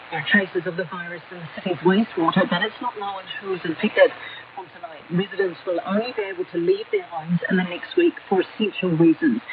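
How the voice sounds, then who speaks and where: A woman with a New Zealand accent reading a scripted radio news bulletin over shortwave. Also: lowish voice. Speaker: radio newsreader. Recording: noisy narrow-band shortwave radio broadcast, distant.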